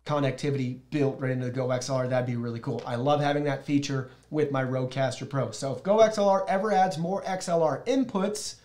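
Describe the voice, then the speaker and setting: A man's voice: medium pitch, fairly even intonation, fast talker, hardly any pauses, a little mellow. A man in his thirties giving a casual, enthusiastic product review to camera, addressing viewers directly. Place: small plain indoor studio, seated at a desk.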